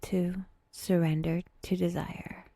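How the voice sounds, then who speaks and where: A breathy woman speaks very slowly and gently, as a meditation guide would. Her voice is mellow and creaky at the edges, often sinking toward a whisper, with long pauses and a lightly wry touch. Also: on the low side, little pitch movement, no echo. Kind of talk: soft, intimate guided meditation.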